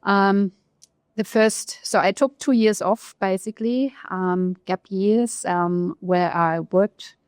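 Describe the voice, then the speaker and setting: German-accented woman economist, reflective guest, mid-pitched, dead-dry mic. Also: steady tone, clean tone, no room sound. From East Germany. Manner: thoughtful, pauses often with fillers. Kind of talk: podcast interview, career story.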